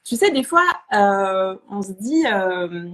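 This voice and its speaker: A woman's voice: mid-range voice, lively intonation, takes it slowly, a bit bright. A woman hosting a casual live interview, chatting informally and often searching for her words as she phrases questions. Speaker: interview host.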